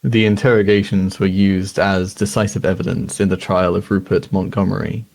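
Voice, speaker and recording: A man playing a sober news reader, narrating a crime documentary in a measured, grave and formal way. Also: low-pitched, flat delivery, gravelly, darkish tone.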